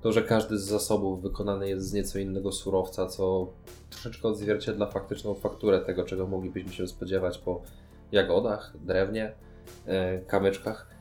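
Low-voiced mellow man. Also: level tone, normal speed, soft background music. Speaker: podcast co-host. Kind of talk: casual board game discussion.

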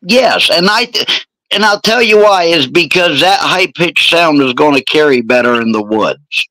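A man in his forties with a slightly raspy voice, hosting a casual podcast chat with crude jokes. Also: a little high, expressive, measured pace, pushed and bright, dry recording, rough recording. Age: 40s. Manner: joking, crude, rambling. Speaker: podcast host.

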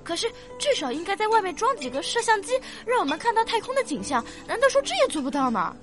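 Radio drama casting: bright young daughter, inquisitive and argumentative, high-pitched with animated swings. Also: female voice, fast talker, faint music behind, exaggerated voice. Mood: doubtful, protesting. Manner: earnest, reasoning like a little scholar. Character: curious, argumentative young daughter, precocious and stubborn. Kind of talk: science-fiction radio drama acting.

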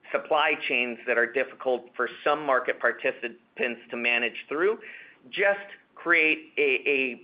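A man speaking as a company executive answering questions on an earnings call, measured and confident, often restarting and repeating words. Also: medium pitch. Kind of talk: corporate earnings call Q&A, unscripted. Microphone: conference call webcast line. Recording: dull, band-limited conference call audio.

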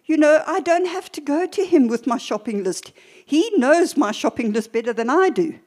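An older woman preacher teaching a church sermon in a deliberate, emphatic way, with short pauses and repeated phrases. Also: sits high, lively intonation, a little mellow.

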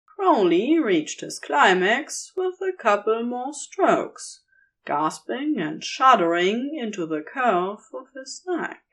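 A woman narrates a story from a script at an unhurried pace. Her pitch moves in lively swings from phrase to phrase.

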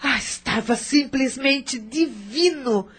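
Lady doing a fussy rich mom, breathy high voice, slow and sing-songy. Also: heavily acted. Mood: fussing. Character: indulgent wealthy mother of a grown daughter.

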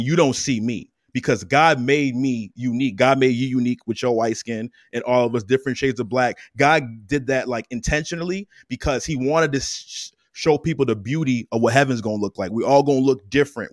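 A man's voice: medium pitch, no room sound, clean studio sound. A man in a casual podcast discussion, making his point steadily and with emphasis. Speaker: podcast guest.

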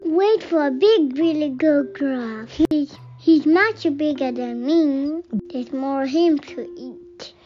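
Woman as a teeny tiny, high-voiced little goat. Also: slow, few pauses, warm tone, dull audio. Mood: pleading. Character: clever, brave little billy goat, small young male.

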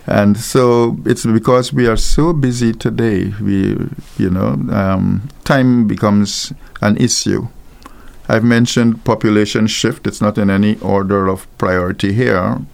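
Slow, gravelly older pastor from Guyana. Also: male voice, lowish voice, fairly even intonation. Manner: unhurried, rambling with many ums and small laughs. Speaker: pastor, radio guest. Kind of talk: unscripted radio talk.

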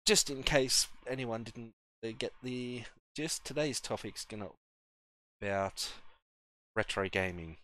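A man's voice: medium pitch, a little slow, pushed and bright, dry recording. A man hosting a casual podcast, laid-back and often repeating words as he starts his sentences. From Australia. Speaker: podcast host.

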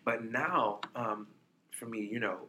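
A man's voice: fairly low pitch, slow, bright, forceful tone, slight background noise. A man in his thirties from New York, chatting easily as an interview guest and filling his talk with "like" and "you know". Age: 30s. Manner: chatty, laid-back, peppered with "like" and "you know". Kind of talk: casual chat.

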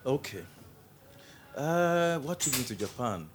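A man's voice: medium pitch, big pitch swings, takes it slowly, slight background noise. A man who owns a restaurant, being interviewed in a casual chat; he repeats words for emphasis and thinks aloud with fillers. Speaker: restaurant owner, guest.

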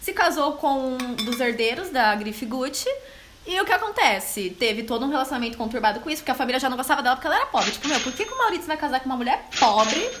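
A woman's voice: sits high, expressive, quick pace, echoey room, background noise. A woman co-hosting a casual film review chat, playful and gossipy with lots of slang.

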